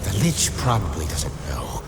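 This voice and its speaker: A man voicing a disembodied lich guide in a dark fantasy radio play, urgent, commanding and exasperated. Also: deep voice, sing-song, measured pace, very noisy. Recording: dramatic music behind. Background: loud music bed.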